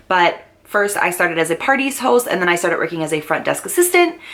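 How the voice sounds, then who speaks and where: A young adult woman talking to camera as a vlogger, walking through her prepared notes in an upbeat manner, lifting her pitch as she starts each new point. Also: fairly bright tone.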